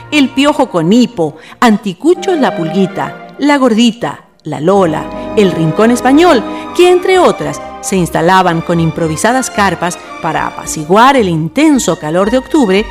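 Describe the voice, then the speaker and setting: Expressive Chilean woman narrator over music. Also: mid-range voice. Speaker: narrator and host of a radio drama series. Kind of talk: scripted storytelling narration for radio drama. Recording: music behind the voice.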